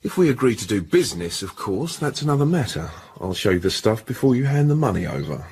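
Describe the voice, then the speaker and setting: An actor playing an English gentleman posing as a blackmailer in a radio drama, with a British accent and polite, insinuating speech. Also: medium pitch, very animated, fast, slight background noise. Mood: smug, menacing.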